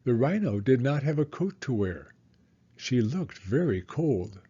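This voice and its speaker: Slow, animated man reading a children's story. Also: medium pitch, husky, highs cut off. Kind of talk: expressive read-aloud story narration for young children.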